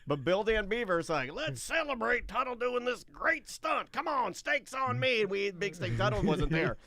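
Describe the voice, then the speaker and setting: A man with a notably high-pitched voice hosts casual radio banter. His tone is bright and forceful as he spins comic stories, often repeating a phrase for emphasis. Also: very animated, moderate pace. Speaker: radio show host.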